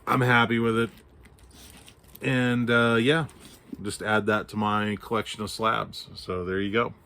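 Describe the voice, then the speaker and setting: A man's voice: lowish voice, level tone, unhurried, pushed and bright. A man from Texas chatting casually about his comic book haul, his voice scratchy from allergies, rambling with fillers and restarts. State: hoarse, scratchy throat from allergies. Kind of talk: casual show-and-tell chat.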